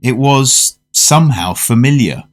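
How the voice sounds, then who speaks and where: An actor playing a genteel diner who narrates and converses in a measured, wordy way in a radio horror drama. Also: male voice, medium pitch, expressive, natural voice. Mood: reflective, uneasy. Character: genteel male diner narrating his uneasy fascination.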